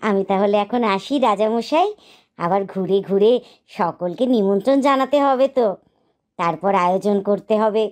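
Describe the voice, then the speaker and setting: A woman voicing a gentle, courteous mother duck in a cartoon who speaks politely and deferentially. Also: medium pitch, normal speed, close to an everyday voice. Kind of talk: cartoon voice acting. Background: background music.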